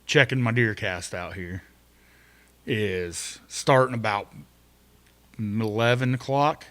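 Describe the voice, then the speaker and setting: A man's voice: lowish voice, slow pace, a bit bright. A man hosting a podcast in an easygoing, plain-spoken way. He lays out the conditions and the plans for the night step by step, with loose fillers along the way. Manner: plain-spoken, laid-back, talks through conditions and plans. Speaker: podcast host. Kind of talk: casual chat.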